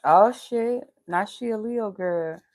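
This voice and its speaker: A woman's voice: medium pitch, slow pace, darkish tone. A young adult woman with a soothing, pleasant voice, chatting casually and candidly with profanity and laughter on a live stream as a tarot reader. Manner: laughs while talking.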